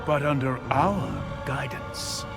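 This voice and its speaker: Slow, grandiose alien prophet villain. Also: male voice, mid-range voice, animated, gravelly, over loud music. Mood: proud, grandiose. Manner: grandiose, mockingly courteous, deliberate. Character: haughty alien prophet, a zealous religious leader of conquerors. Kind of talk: theatrical video game villain acting.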